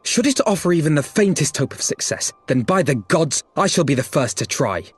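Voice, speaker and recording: A man voicing an earnest, noble young gentleman in a game cutscene, speaking formally with archaic phrasing. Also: a little high, big pitch swings, fairly quick, husky, faint music behind. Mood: determined. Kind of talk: video game cutscene acting.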